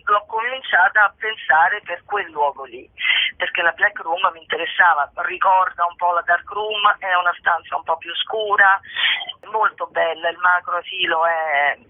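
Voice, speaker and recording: A woman's voice: on the low side, no room sound. A woman chatting casually as an interview guest, hesitant and often searching for her words. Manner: hesitant, searching for words, repeating phrase starts. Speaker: guest, actress and co-author. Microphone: telephone. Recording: tinny narrowband telephone line.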